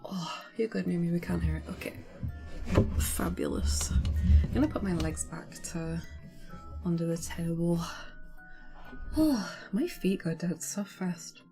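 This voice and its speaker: A woman's voice: lowish voice, expressive, measured pace, vocal fry, long pauses, mellow, faint music behind. A woman streamer reading a novel aloud as storybook narration, with casual asides.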